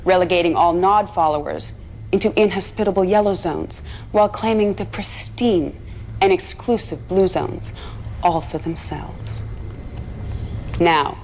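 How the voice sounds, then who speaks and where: An actress playing a devout propagandist of a militant brotherhood, giving a solemn, measured and righteous address in a video game. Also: female voice, mid-range voice, a little hiss or hum, natural voice. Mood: resolute, reverent.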